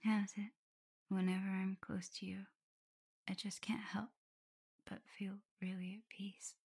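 A very breathy, mellow whisper from a shy omega werewolf in love acted by a woman. She speaks tenderly and close, teasing a little and doubting herself, in an intimate ASMR roleplay. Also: mid-range voice, little pitch movement, a little slow. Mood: fond, teasing.

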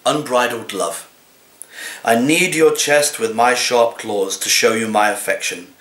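A man in his sixties reads comic poetry like an actor, with a deliberate, dramatic and expressive delivery, recorded in a living room. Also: mid-range voice. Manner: deliberate, theatrical, expressive delivery in a cat's persona. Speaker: actor reading poems. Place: small living room, indoors. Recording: roomy, echoey home recording.

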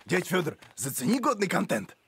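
Актёр озвучивает хвастливого, легко возбудимого пса-блогера в мультфильме, говорит восклицаниями и с модными словечками. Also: male voice, high voice, very animated, moderate pace, vocal fry, noisy background, full character voice. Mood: defensive, bragging. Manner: exclamatory, slangy, showing off. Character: boastful excitable male dog, a wannabe blogger. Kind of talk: animated cartoon voice acting. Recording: music behind the voice.

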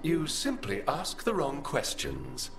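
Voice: mid-range voice, huge pitch swings, takes it slowly, roomy sound, over music. An actor voicing a condescending, formal ancient archive spirit in a fantasy video game. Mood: scornful.

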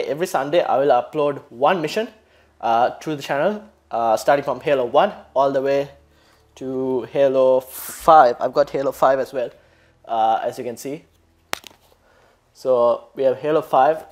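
A man's voice: mid-range voice, a little mellow. A young man talking casually to camera as a gaming channel host, indoors. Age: young adult. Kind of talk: casual series introduction to camera. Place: small indoor room.

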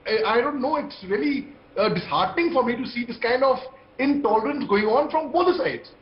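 A man's voice: very high-pitched, animated, fairly quick. A man with an Indian accent giving emphatic, impassioned, repetitive commentary as a panelist on a TV debate over a video call. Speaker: TV debate panelist, army veteran. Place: indoor room on video call. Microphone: video call microphone. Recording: muffled, echoey video-call audio.

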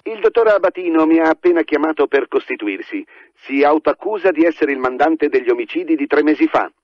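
Muffled telephone voice, officious police chief. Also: male voice, fairly high pitch, lively intonation, brisk, dry recording. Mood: formal, pointed. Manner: formal, commanding. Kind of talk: Italian radio drama acting. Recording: dry, muffled telephone line.

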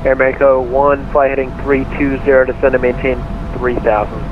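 A man's voice: medium pitch, flat delivery, normal speed. A man working as an air traffic controller, giving clipped procedural instructions over the radio. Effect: radio transmission. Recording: muffled narrowband radio, cockpit drone noise.

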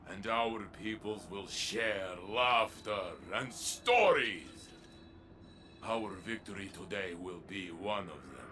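An actor playing a noble armored robot warrior, earnest and declamatory, in a dramatic video game. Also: male voice, fairly low pitch, bright, forceful tone, slight background noise, exaggerated voice. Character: noble armored robot warrior, fervent protector, warm to allies.

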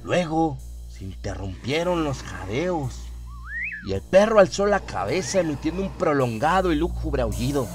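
A man's voice: on the high side, sing-song, normal speed. A man narrating a scripted horror radio drama as its storyteller. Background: atmospheric music and sound effects.

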